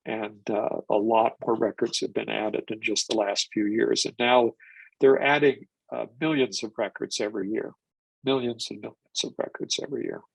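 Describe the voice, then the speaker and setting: A man's voice: fairly low pitch, steady tone, moderate pace, gravelly, breathy edge, no echo, rough recording. An older man presenting a genealogy webinar, stuffed up from a cold, rambling with restarts and fillers. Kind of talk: live webinar lecture.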